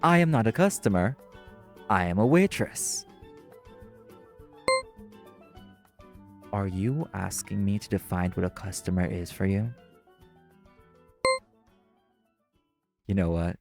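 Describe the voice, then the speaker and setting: A man acts out an exasperated waiter in an audio roleplay, his voice pitched on the low side. He speaks with prim, formal politeness that carries a sarcastic edge. Music plays faintly behind him. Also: normal speed, vocal fry. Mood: weary exasperation. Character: exasperated, primly formal young waiter teased by partners.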